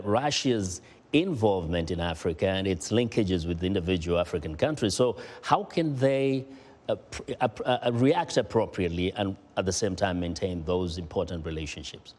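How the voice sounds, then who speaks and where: A man's voice: on the low side, moderate pace, darkish tone, long reverb tail. A man hosting a television news interview, putting questions to his guest in a conversational broadcast style.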